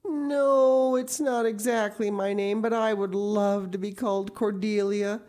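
A woman's voice: mid-range voice, fairly even intonation, a little slow, darkish tone, pitched above the performer's own voice, natural voice. A woman voicing a dramatic, imaginative orphan girl of about eleven who speaks in gushing, theatrical outbursts. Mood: eager, wistful. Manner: gushing, theatrical, tearful pleading.

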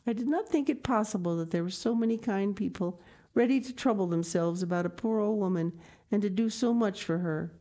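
A woman voicing a poor, frail old grandmother who speaks gently and with a sigh. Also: lowish voice, very animated, normal speed. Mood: grateful. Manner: gentle, sighing and tremulous.

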